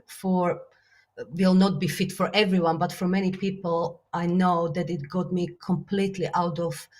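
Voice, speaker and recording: Slovak woman, earnest and reflective. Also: on the low side. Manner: earnest, reflective, many fillers, laughs softly. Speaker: guest, business author and coach. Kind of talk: casual interview talk.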